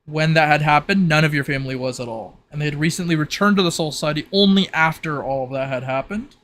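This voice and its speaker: A man acting as game master, improvising narration for a tabletop roleplay in a halting, think-aloud manner. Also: a little high, fairly bright tone.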